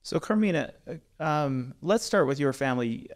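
A man's voice: a little high, lively intonation, normal speed. A man in his forties hosting a podcast, upbeat and chatty with many fillers, speaking into a studio mic in a small studio. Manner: upbeat, with frequent "uh" fillers and restarts. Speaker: podcast host. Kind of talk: casual podcast interview. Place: small studio with brick wall, indoors. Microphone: studio mic on boom arm.

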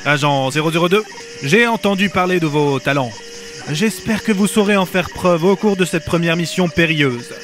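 A solemn colonel played by a man gives a formal radio-play briefing. His voice sits slightly high over clear music. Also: moderate pace, natural voice. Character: formal male colonel, solemn mission commander.

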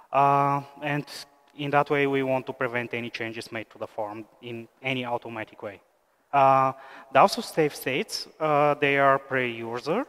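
A man in his thirties, originally from Bulgaria, presents a software talk on a stage with a headset mic. His voice is smooth and resonant at an ordinary male pitch, and he explains things steadily, with frequent "uh" fillers. The sound carries the long reverb of a large conference hall. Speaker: conference speaker, software engineer.

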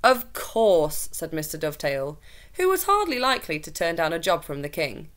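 Low-voiced young woman reading a story. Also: wildly swooping pitch, fairly quick, fairly bright tone. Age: young adult. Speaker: teacher reading a story aloud. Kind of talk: expressive children's storybook narration. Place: small plain room indoors.

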